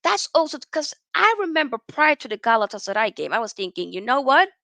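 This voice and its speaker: A woman in her twenties from Norway, hosting a live football chat stream and reading out viewer messages in a lively, chatty way full of slang and restarts. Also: high-pitched, a little fast, vocal fry, no room sound. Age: 20s. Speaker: football channel host.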